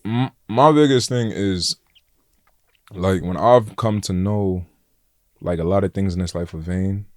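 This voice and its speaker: A young man with a New York accent and a deep voice, talking bluntly and casually as a podcast guest, full of slang and "like" and "bro". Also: measured pace, long pauses, no room sound, studio quality. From Flatbush, Brooklyn, New York. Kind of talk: casual conversation.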